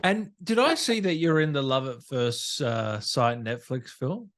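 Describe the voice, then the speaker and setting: A man interviews a guest casually over a video call as podcast host. His voice moves through a wide, animated range of pitch, and his manner is informal and agreeable. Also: a little slow. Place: remote video call from a tidy room.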